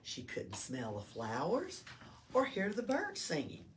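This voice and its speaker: An older woman reads aloud to small children. Her pitch moves up and down expressively as she explains things simply and checks in with questions. She is picked up from a distance, with faint noise around her. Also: wildly swooping pitch, a little slow. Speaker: library storytime reader. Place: small-town library. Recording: distant mic with background noise.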